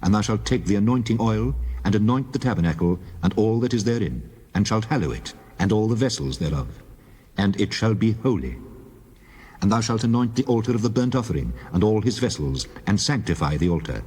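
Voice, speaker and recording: An actor voicing God, a deep divine male voice giving solemn, measured commands in a dramatized scripture reading. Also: expressive, warm tone, husky. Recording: long hall reverb.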